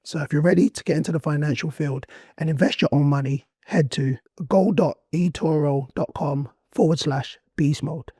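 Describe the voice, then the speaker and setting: A man hosting a casual interview podcast, his voice hoarse and nearly gone, talking in excitable slang. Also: on the high side, normal speed, hardly any pauses, dry recording, studio quality. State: losing his voice. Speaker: podcast host.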